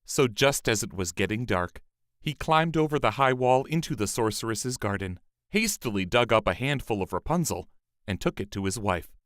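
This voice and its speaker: A man reading a fairy tale as a storyteller, in a measured, expressive scripted narration. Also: fairly low pitch, no echo, studio quality.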